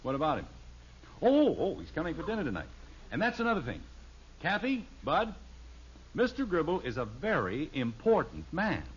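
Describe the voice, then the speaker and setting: A man's voice: a little high, huge pitch swings, moderate pace, slightly rough, exaggerated voice. An actor playing a strict, exasperated middle-aged father with an American accent, lecturing and commanding in 1950s radio sitcom style. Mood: blustering. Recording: muffled vintage radio recording.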